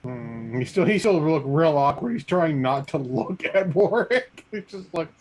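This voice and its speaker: Guy playing tabletop, kinda high voice, swoops up and down, just chatting. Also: animated, a little slow. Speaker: tabletop game player. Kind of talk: casual chat.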